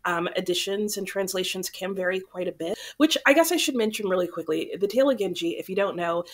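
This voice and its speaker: A woman's voice: mid-range voice, fast talker. A young woman hosting her book channel, chatting casually to camera while a little sick, so her voice sounds slightly off. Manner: chatty, relaxed, rambling.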